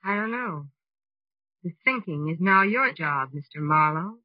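A woman's voice: lowish voice, animated, unhurried, lo-fi sound, heavy background noise. An actress playing an anxious young American woman seeking help in a 1940s radio drama, earnest and pleading, on an old recording. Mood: uneasy.

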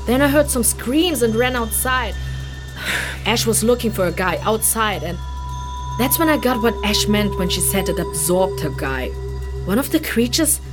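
An actress playing a chatty young woman, a refugee villager in a fantasy audio drama, speaking casually and haltingly with exclamations. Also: a little high, animated, close to an everyday voice. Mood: shaken. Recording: music behind the voice.

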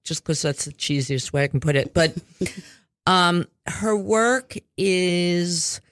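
A woman's voice: on the low side, steady tone, slow pace, a bit bright, dry recording, clean studio sound. Chatty rambling woman radio host. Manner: rambling, chatty, swears casually. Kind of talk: casual radio interview. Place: community radio studio.

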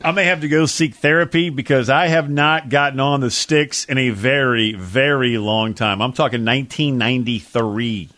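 A man's voice: medium pitch, moderate pace, few pauses, a bit bright, no echo. A man co-hosting a sports radio show, talking casually in a colorful, slangy and hyperbolic way. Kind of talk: casual sports talk and betting picks.

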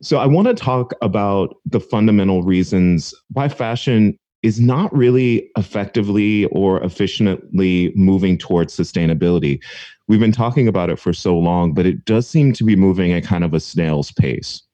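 Male podcast host, very deep and mellow, keen interviewer, dry close sound. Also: normal speed, dry recording, muffled recording. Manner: enthusiastic, with small stumbles. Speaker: podcast host, assistant professor.

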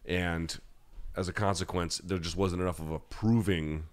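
A man's voice: sits low, fairly even intonation, moderate pace. An American man hosting a live-chat podcast, answering listener questions in a blunt, conversational, opinionated way. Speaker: podcast host.

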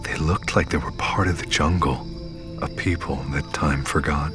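A haunted survivor narrating audio fiction in a very low voice. His telling is grave, measured and weary, with prominent music running behind it. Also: fairly even intonation. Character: weary, haunted man recounting his dreamlike survival journey. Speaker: narrator and lead character of an audio drama. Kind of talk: scripted first-person audio fiction narration.

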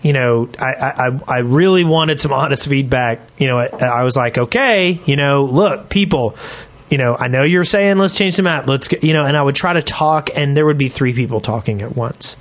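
A man's voice: medium pitch, normal speed. A man co-hosting a podcast in casual chat, speaking bluntly and jokingly with plenty of opinions. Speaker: podcast co-host.

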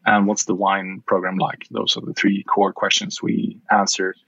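Hesitant Swedish man, low dull voice. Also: level tone, normal speed, creaky, fairly bright tone, breathy edge, no room sound. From Sweden. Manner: hesitant, many fillers and restarts. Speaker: wine guide founder. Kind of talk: podcast interview.

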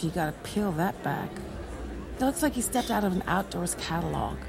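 A brash, flirtatious woman in a naturalistic audio drama. She teases bluntly in a slightly low voice that swings freely up and down, with a busy crowd chattering around her. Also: expressive, moderate pace, creaky. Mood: teasing. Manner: blunt, teasing, crude-humoured.

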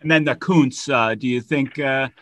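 A man's voice: medium pitch, moderate pace, noisy background. A male reporter asking questions on a press conference call, phrasing them hesitantly in pieces.

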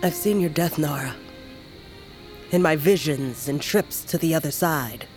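Slow-spoken, solemn old shaman woman. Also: deep voice. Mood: solemn, steady. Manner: solemn, measured, cryptic. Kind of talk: fantasy audio drama acting. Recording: music underneath.

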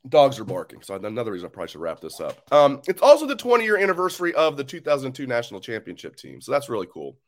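A man's voice: medium pitch, quick pace, few pauses. Scratchy-voiced man in casual sports talk. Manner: animated, opinionated, rambling fan talk. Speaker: sports show host.

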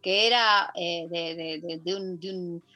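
Woman, Argentine accent, middle-aged, radio guest, chatty, halting restarts. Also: on the low side, steady tone, sharp tone, soft background music. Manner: chatty, many fillers and restarts. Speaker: guest, musical theatre actress. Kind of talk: casual radio interview. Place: radio studio.

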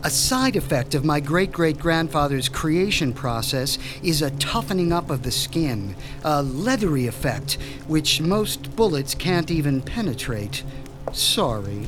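A sinister mad doctor, voiced by a man speaks in a fairly high voice with lively, gliding pitch. He stays cool and polite while he says menacing things. A music score runs underneath. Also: measured pace. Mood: calm. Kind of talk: audio drama acting.

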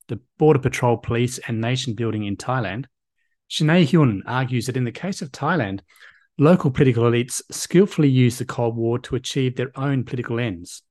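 A man hosting a podcast interview, reading a measured, formal introduction before questioning his guest. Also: medium pitch, a little mellow. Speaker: podcast co-host and history lecturer.

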